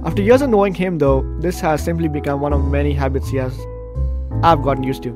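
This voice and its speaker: Slightly high-voiced male let's player, faint music. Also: fast. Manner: jokey asides, stumbles over read words. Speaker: gaming video host. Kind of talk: let's play commentary, reading game narration aloud.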